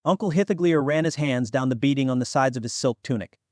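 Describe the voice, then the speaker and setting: A man's voice: medium pitch, fast, dry recording. Male fantasy audiobook narrator, dry recording.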